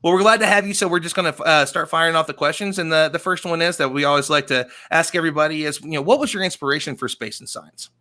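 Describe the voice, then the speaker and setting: A man's voice: fairly high pitch, quick pace, hardly any pauses, pushed and bright. A man in his thirties hosting a casual live-stream interview in an enthusiastic, effusive way. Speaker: show host, interviewer. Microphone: desk microphone, headset, on a video call.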